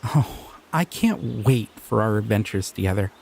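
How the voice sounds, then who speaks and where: A man's voice: on the low side, lively intonation, measured pace, vocal fry, darkish tone, heavily acted. A man playing a shy, giggly slime creature. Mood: dreamy. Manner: earnest, flustered, giggly. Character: shy, excitable young male slime creature. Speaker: voice actor. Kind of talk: audio roleplay.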